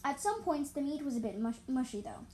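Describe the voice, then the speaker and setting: A woman's voice: high-pitched, big pitch swings, brisk, a little mellow, slight background noise. A woman reading animal stories as an amateur storyteller, stumbling over and repeating words and adding explanatory asides.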